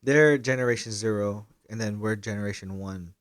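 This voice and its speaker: A young man hosting a podcast in casual chat, animated and hesitant, often getting louder when excited. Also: fairly low pitch, normal speed, dry recording, studio quality. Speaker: podcast host.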